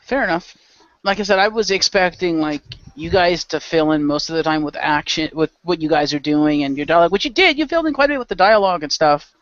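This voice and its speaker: A man's voice: fairly high pitch, fast, fairly bright tone, no echo, muffled recording. A man acting as game master and narrator, chatting casually and narrating a tabletop role-play show.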